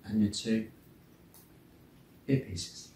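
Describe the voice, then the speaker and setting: A man's voice: on the low side, very slow, a little mellow. A man giving a chatty, informal product commentary as he unboxes and reviews gear. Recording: roomy indoor sound, faint noise.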